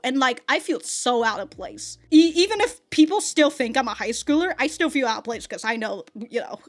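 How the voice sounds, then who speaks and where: A woman in her thirties giving casual reaction commentary, blunt and profane, laughing often and stumbling through restarts and stammers. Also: on the high side. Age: 30s. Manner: halting, with many restarts and stammers. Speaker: anime reaction reviewer.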